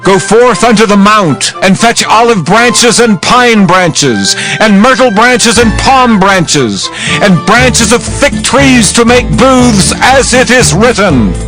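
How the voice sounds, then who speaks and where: A man playing a herald in a dramatized scripture drama, calling out a proclamation in drawn-out phrases. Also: high-pitched, lively intonation, rough recording. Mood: proclaiming. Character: male herald proclaiming a law to the cities. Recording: music bed under the voice.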